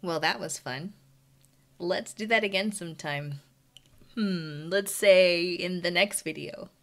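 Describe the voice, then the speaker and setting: Woman doing a video outro, kinda low voice, takes her time. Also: takes it slowly, fairly bright tone. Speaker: video creator giving sign-off. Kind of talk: casual outro to viewers.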